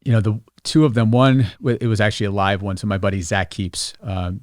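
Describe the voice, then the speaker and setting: A man's voice: lowish voice, a little fast, darkish tone, no echo, studio quality. A man chatting casually as a podcast guest in a rambling, informal, slangy way.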